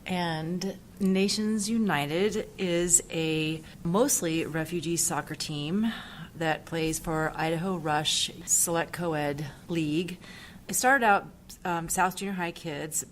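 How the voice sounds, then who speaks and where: A woman's voice: low voice, a little hiss or hum. A middle-aged woman who works at a school, speaking informally in an interview as a soccer team organizer.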